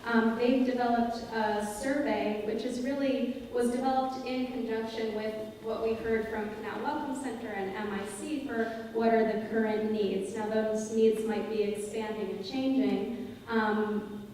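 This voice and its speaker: A woman's voice: a little high, steady tone, unhurried, darkish tone, slight background noise. A young woman moderating a congregation Q&A in a large synagogue sanctuary, explaining things earnestly with many ums on a handheld mic. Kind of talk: unscripted, explaining to an audience. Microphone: handheld mic, filmed by a far camera. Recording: echoey hall from far off.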